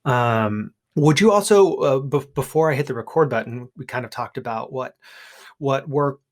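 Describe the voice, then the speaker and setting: A man's voice: medium pitch, normal speed. A man hosting a podcast and coaching in a casual, conversational way.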